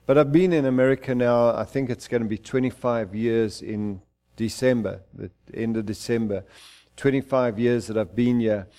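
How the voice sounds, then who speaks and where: Fervent, mellow-voiced middle-aged preacher man. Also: mid-range voice, moderate pace. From Zimbabwe. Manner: fervent, declaiming, with frequent restarts. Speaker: pastor. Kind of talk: sermon. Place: church.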